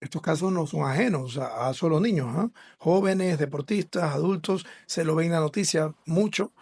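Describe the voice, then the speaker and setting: Earnest Ecuadorian man, fairly high voice. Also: normal speed, a little mellow, breathy edge. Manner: earnest, explanatory, with fillers and restarts. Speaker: school administrator. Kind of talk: podcast interview.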